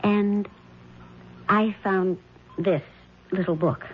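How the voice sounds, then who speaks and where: An American actress playing a troubled, suspicious wife, halting and earnest, in 1970s radio drama style. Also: female voice, mid-range voice, steady tone. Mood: hesitant, tense. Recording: muffled, noisy 1970s radio recording.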